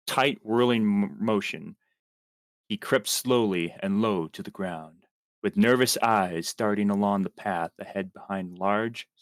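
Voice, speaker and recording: A man with a fairly low voice reads fan fiction aloud in a theatrical storytelling manner. He occasionally trips over a word. The sound is dry and close, with very little room to it. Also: dry recording. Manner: theatrical, with stumbles over words. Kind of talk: dramatic story reading to friends on a livestream.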